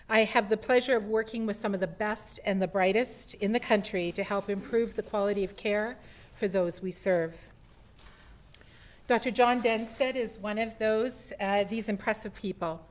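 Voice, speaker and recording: Woman, mid-pitched, formal event host; polished scripted welcome to a lecture audience. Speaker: event host introducing the speaker. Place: lecture hall before an audience.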